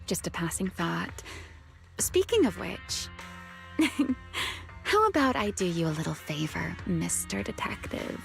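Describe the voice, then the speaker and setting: A woman's voice: fairly low pitch, gravelly, full character voice. A woman playing a teasing, secretive, long-lived huntress in a video game, coy and poised. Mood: musing, sly. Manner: coy, playful, unhurried teasing. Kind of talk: video game story voice acting.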